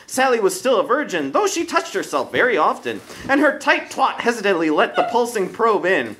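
A man narrates a comic story in a high, forceful, bright voice. His delivery is scripted and theatrical, that of a dramatic storyteller. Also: very animated, moderate pace, low-quality recording.

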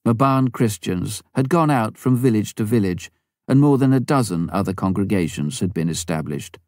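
Low-voiced guy reading memoir audiobook, steady and earnest, dry close mic. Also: normal speed, no echo. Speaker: audiobook reader. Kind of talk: first-person memoir narration.

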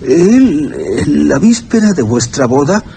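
A fretful formal attendant, high voice going up and down, old radio play. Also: male voice, very animated, slow, gravelly, dark tone, a little hiss or hum, full character voice. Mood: grave concern. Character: worried, deferential male attendant to a young lady. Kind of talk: Spanish radio horror drama acting.

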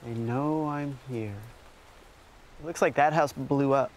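A man's voice: medium pitch, fairly even intonation, takes it slowly. A man, hosting a documentary with narration and casual interviews. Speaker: documentary host.

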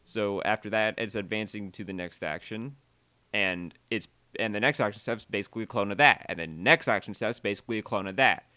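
A man narrating a software tutorial voiceover, halting and often repeating or correcting himself as he explains. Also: fairly low pitch, dry recording.

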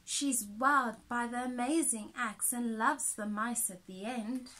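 A woman with a high voice reads a picture book to young children. She takes it slowly and expressively, like a teacher, adding small comments as she goes. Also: bright, forceful tone. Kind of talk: picture book read-aloud with asides. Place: small indoor room with soft toys.

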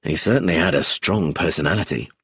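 A man voicing a calm, blunt police chief inspector in an audiobook, low, steady and abrupt. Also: quick pace, breathy edge, dry recording, full character voice. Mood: firm.

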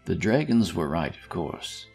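A man's voice: sits low, sing-song, a little slow, gravelly, a little mellow, soft background music. A man reading scripted fantasy narration as the storyteller.

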